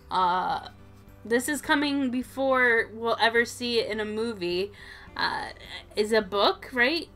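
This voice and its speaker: A woman's voice: high voice, a bit bright. A woman co-hosting a toy show in casual, informal chat, thinking aloud as she goes.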